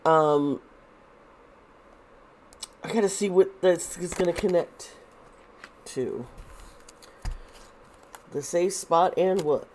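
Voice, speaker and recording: A woman lays out tarot cards and talks at an unhurried, drawn-out pace, her nose blocked and her voice wearing thin. Her pitch sits on the lower side, and she circles back on herself with restarts and fillers. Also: darkish tone, slight background noise. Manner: rambling with many restarts and fillers. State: congested, losing her voice. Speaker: tarot reader. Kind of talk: casual tarot reading to camera.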